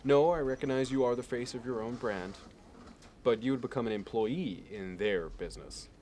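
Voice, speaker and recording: A man plays a meticulous, self-satisfied male Manhattan banker in an ASMR roleplay. He sounds measured and sure of himself, with fastidious attention in his delivery. A light layer of noise sits behind the voice. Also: mid-range voice, darkish tone, close to an everyday voice. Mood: smooth businesslike. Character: smug, meticulous Manhattan investment banker from American Psycho.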